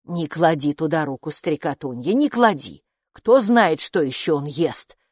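A woman's voice: low-pitched, animated, measured pace, darkish tone, husky, dry recording, clean studio sound, exaggerated voice. Woman voicing an anxious, motherly storybook gatekeeper creature. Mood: fretful, soothing. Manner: fretful, doting, repeats words in lament. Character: anxious, motherly green-skinned female underground gatekeeper creature. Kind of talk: children's audiobook character voice.

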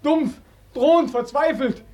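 An actor playing a despairing, bitterly mocking young soldier home from war, insistent and repetitive, in a 1940s German radio play. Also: male voice, very high-pitched, measured pace, a little mellow, muffled recording. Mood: anguished, agitated. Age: young adult. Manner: impassioned, insistent, repeating phrases.

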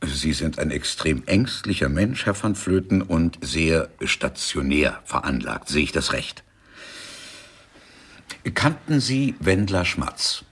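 Gravelly, very deep-voiced exasperated detective. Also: male voice, very animated, slow pace, a bit bright, exaggerated voice. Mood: probing. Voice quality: gravelly, husky. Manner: sardonic, exasperated, peppers speech with Italian. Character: grumbling, impatient police detective in Rome, male. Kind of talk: German radio crime drama acting.

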